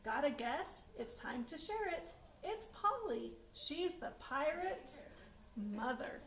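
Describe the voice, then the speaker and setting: High voiced lady reading rhyming kids book, slow, echoey, faint noise behind. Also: very animated, a bit bright. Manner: addresses children, pauses for guesses. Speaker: reader of a children's picture book. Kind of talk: rhyming storybook read-aloud. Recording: echoey room, background noise.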